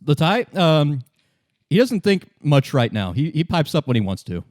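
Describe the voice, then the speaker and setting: A man acting as game master, narrating a tabletop game in an informal, halting, improvised way. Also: fairly high pitch, big pitch swings, darkish tone, no room sound, clean studio sound.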